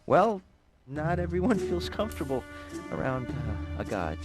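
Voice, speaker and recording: An actor voicing a cheeky, self-important animal sidekick in a cartoonish video game cutscene, glib and playful. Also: male voice, a little high, very animated, a little slow. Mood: cocky. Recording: music behind the voice.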